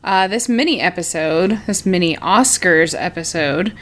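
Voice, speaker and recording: A woman's voice: fairly low pitch, lively intonation, a little slow. A woman co-hosting a podcast from her apartment, chatting casually with many fillers and frequent laughs. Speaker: podcast co-host. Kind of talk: casual chat. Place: home apartment. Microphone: shared desk mic moved between speakers.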